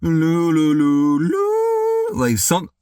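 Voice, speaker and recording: A young man chatting casually as he reacts to music, rambling through his thoughts with plenty of filler. His pitch leaps up and drops down all the time. His voice sounds a little worn, and the recording is dry and close with no room sound. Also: drawn-out words, dry recording. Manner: rambling and excitable, full of "dude" and "like"; loud sudden outbursts when hyped. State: voice worn from singing practice.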